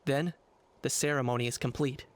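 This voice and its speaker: A man's voice: mid-range voice, steady tone, a little fast, slight background noise, natural voice. A man playing a cool, blunt young warrior mentor in a video game, speaking in a matter-of-fact, instructing way. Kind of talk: game character dialogue.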